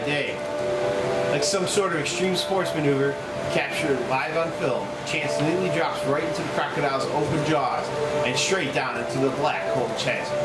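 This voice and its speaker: A man reading out stage directions as the narrator of a screenplay. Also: a little high. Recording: distant mic, echoey room, loud noise.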